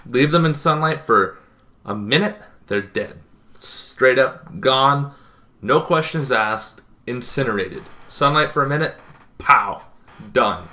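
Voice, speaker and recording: A young man talking casually to camera as a gaming video host, emphatic, with dramatic pauses and short punchy phrases. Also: medium pitch, animated, bright, forceful tone. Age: young adult. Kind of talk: casual explanatory talk to camera. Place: small bedroom, indoors.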